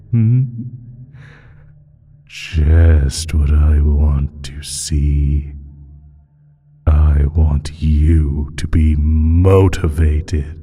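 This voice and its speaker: Ancient nefarious creature, male, dark mellow tone, breathy half-whisper, sly, teasing menace, very drawn-out delivery, audio drama. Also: very deep voice, heavily acted. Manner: slow, drawn-out, teasing, savoring pauses. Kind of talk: scripted audio roleplay monologue.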